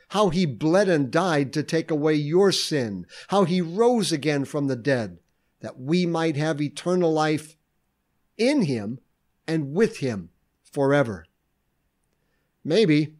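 A man giving a short devotional sermon, reflective and earnest, asking questions aloud. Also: a little high. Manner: reflective, lively preaching with rhetorical questions. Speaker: preacher.

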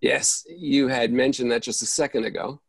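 A man playing an exasperated, easily flustered character in an absurdist stage play reading, halting and repeating himself over a video call. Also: mid-range voice, creaky, husky, no echo. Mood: bewildered.

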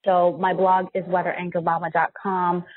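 A woman's voice: on the low side, flat delivery, moderate pace. An American woman in her forties chatting casually as a guest in a podcast interview. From United States. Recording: thin, phone-like line.

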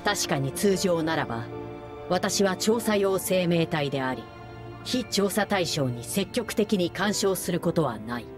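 A cool, formal artificial lifeform in a game cutscene, her voice set a little low and spoken with measured, detached precision. Game music plays clearly beneath her lines. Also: lively intonation. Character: calm formal artificial research being, female. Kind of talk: video game cutscene voice acting. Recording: game audio with music underneath. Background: game soundtrack music.

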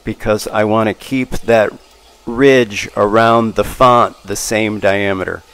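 A man's voice: on the low side, fairly even intonation, takes it slowly, fairly bright tone. A man in his sixties casually narrating hands-on shop work in a folksy, plainspoken way. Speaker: machinist and channel host. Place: machine shop, indoors. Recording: clear machine and tool noise. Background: machinery and power tools.

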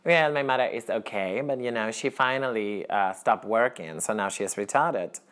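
A man's voice: moderate pace, fairly bright tone, natural voice. An actor playing a naive young Colombian man in an apartment kitchen, speaking earnest broken English with a Colombian Spanish accent. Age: young adult. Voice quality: earnest, broken English, sudden pitch jumps. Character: naive male Colombian teacher with strong accent. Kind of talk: deadpan comedy sketch acting.

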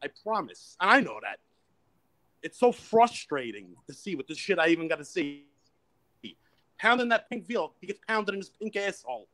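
A man's voice: high voice, sing-song, moderate pace, bright, forceful tone, low-quality recording. A man with a New York accent, phoning in to a live stream and ranting insults at the hosts. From Brooklyn, New York. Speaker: caller.

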